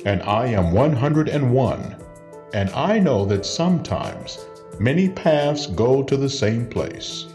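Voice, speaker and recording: A man's voice: medium pitch, expressive, slow, darkish tone. A man giving a theatrical scripted narration with weighty phrasing. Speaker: narrator introducing a storytelling program. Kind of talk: dramatic scripted narration with a quotation. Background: music.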